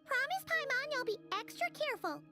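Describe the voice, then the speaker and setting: A woman voicing a tiny, worried fairy companion in a video game, squeaky and childlike. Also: very animated, fairly quick, sharp tone, faint music behind, heavily acted. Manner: squeaky, childlike, third-person speech. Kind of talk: cartoonish video game acting.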